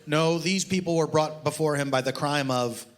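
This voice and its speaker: A man giving a deadpan, pompous, mock-serious story reading at a live comedy show. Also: fairly high pitch, normal speed.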